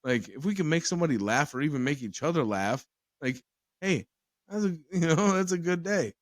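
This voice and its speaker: A man's voice: fairly high pitch, expressive, a little fast, no room sound, studio quality. A man hosting a casual podcast chat who rambles, swears freely and laughs often. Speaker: podcast host.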